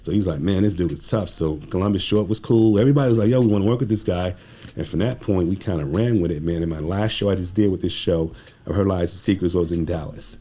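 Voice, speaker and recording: A man's voice: low voice, fast, dark tone. An American man talking as a guest playwright, telling stories in a conversational, informal and slangy way. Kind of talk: conversational interview storytelling.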